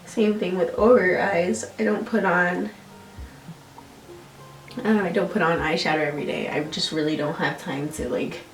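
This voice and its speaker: A woman's voice: lowish voice, steady tone, normal speed. A young American woman with a congested, nasal voice, chatting casually and self-deprecatingly through a makeup tutorial as a beauty vlogger. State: congested from allergies. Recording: roomy echo, distant mic, faint music. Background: music.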